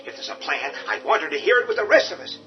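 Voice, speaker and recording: A whiny cowardly husband. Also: male voice, on the high side, sing-song, quick pace. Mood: panicky. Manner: whiny, sarcastic, panicky. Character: cowardly whining middle-aged husband. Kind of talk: radio drama acting. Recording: dull lo-fi audio with music.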